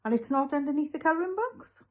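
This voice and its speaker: A woman voicing an eccentric, eager male newsagent in a storybook, deliberate and full of salesman's enthusiasm. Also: mid-range voice, very animated, darkish tone, close to an everyday voice. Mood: slow, puzzled. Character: eccentric, eager male newsagent pushing odd special offers. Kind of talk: storybook character voice.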